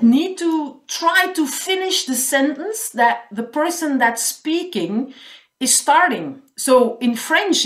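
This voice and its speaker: A woman from Belgium whose first language is Dutch, speaking with a Flemish accent as a guest in a conversational interview, pausing and repeating words as she searches for phrasing. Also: high voice, fairly bright tone, echoey room.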